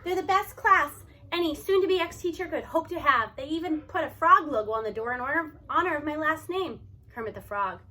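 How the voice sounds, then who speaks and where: A woman reading a story aloud in expressive first-person narration, with animated delivery and emphatic stresses. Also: high-pitched, brisk.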